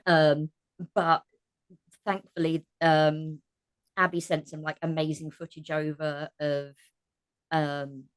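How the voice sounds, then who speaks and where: A woman's voice: low-pitched, level tone, takes it slowly, long pauses, clear, resonant tone, dry recording. A woman talking as a voice actor guest in a podcast interview, giving reflective, casual answers.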